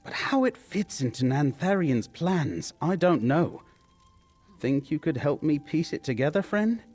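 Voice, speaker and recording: Earnest scholarly fantasy investigator. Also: male voice, on the high side, big pitch swings, measured pace, darkish tone, slightly rough, soft background music, full character voice. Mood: earnest, pondering. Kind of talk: scripted fantasy video game dialogue.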